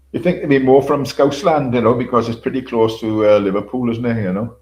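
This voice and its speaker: A man's voice: mid-range voice, a little mellow. An older man hosting a casual livestream, rambling, repeating himself, swearing casually and chuckling to his viewers. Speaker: livestream host. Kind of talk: casual solo livestream chat.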